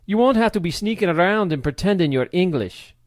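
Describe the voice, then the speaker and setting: A man voicing a big, boisterous old Scottish man with a booming, hearty Scottish accent. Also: lively intonation, fairly quick, no room sound, studio quality.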